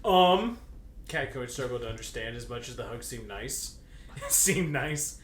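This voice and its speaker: A man's voice: medium pitch, expressive, a little slow, fairly bright tone. A man casually reading fan fiction aloud and bantering, with a teasing, crude and giggly manner. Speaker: guest co-reader. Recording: roomy echo, faint background noise.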